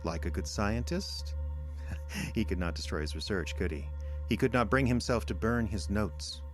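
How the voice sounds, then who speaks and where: An actor as a low-voiced, sinister alien interrogator. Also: male voice, music in the background, close to an everyday voice. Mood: smug, persuasive. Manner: measured, smug, theatrical. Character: sinister, condescending interrogator from an icy insect dimension. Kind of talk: radio drama acting.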